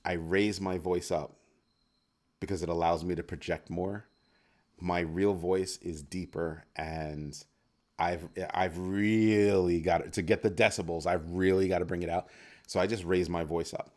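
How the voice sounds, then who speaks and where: Deep-voiced man chatting on a livestream. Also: a little slow. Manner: animated, playful, reacting to chat comments. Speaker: livestream host. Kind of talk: casual livestream chat with viewers.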